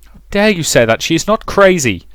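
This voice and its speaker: A man's voice: fairly high pitch, big pitch swings, moderate pace, a bit bright, clear, resonant tone, dry recording, pitched above the performer's own voice. A man voicing a concerned, protective young woman in a visual novel, earnest and questioning. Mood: indignant.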